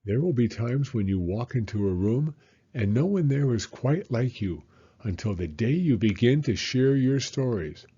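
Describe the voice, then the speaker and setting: A man reading a children's picture book aloud as a story time host, with expressive, unhurried narration. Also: medium pitch, husky, highs cut off.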